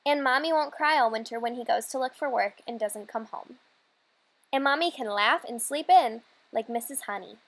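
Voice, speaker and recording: A woman reading aloud a children's storybook as a hopeful, dreamy young girl, earnest and measured. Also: a little high.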